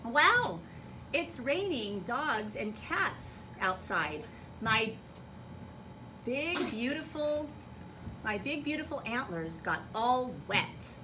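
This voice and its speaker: Storybook moose, played by a woman, proud and wowed, expressive read-aloud delivery; distant mic, clearly noisy room. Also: fairly high pitch, takes it slowly, reverberant. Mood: amazed. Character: big cartoonish moose with proud antlers, exclaiming, wowed. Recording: noisy classroom, distant microphone.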